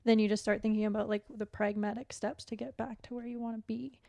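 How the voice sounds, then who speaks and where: Higher-voiced woman, halting and reflective. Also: little pitch movement, gravelly, warm tone, no echo. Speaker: podcast guest, competitive athlete and coach. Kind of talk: candid casual interview chat.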